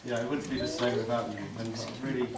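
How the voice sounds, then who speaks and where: A man with a croaky voice giving his groom's speech, soft-spoken and halting. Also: mid-range voice, little pitch movement, over a noisy crowd. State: hoarse. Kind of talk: wedding speech. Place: large reception hall. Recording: roomy, distant, noisy home video.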